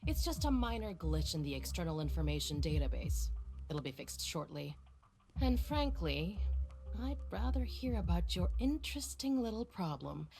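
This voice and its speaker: A middle-aged woman director from a video game. Her voice sits a little low and stays smooth and teasing. She is condescending and manipulative, talking down as if to a pet pupil, over faint music. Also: sing-song, moderate pace, dark tone. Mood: coaxing, condescending. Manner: silky, teasing, patronizing.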